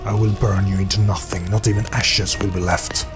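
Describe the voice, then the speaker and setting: A man's voice: deep voice, level tone, normal speed, over music, natural voice. A man voicing a defiant fantasy warrior who declaims solemn incantations in an audiobook-style story. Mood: resolute. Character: defiant male fantasy warrior calling down fire.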